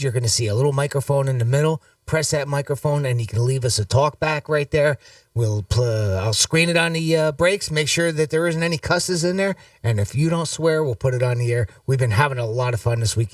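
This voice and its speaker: A man's voice: mid-range voice, dry recording. Chatty radio guy plugging the app and text line, dry studio, bit far from mic. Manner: chatty, upbeat, runs the segments. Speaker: radio host. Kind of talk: radio show promos and banter.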